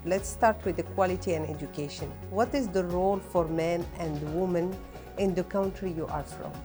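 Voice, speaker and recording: A woman's voice: lowish voice, normal speed. A woman hosting an interview, asking simple, friendly questions in plain, slightly learner English. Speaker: host interviewer. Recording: music clearly behind.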